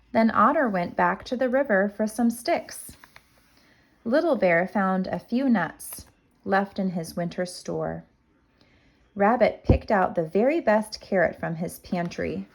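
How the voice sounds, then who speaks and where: A woman narrates a picture book for small children. Her delivery is unhurried and expressive, with a mid-range voice and simple, clear phrasing. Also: mid-range voice, rough recording. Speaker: kindergarten teacher reading aloud.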